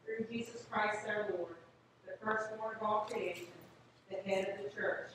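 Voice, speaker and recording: An older woman, a priest, recites liturgical prayer in a solemn, measured, declaiming manner. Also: mid-range voice, steady tone, a bit bright, recorded from a distance. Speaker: Episcopal priest. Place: inside a small church at the altar. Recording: echoey church from afar, noisy background.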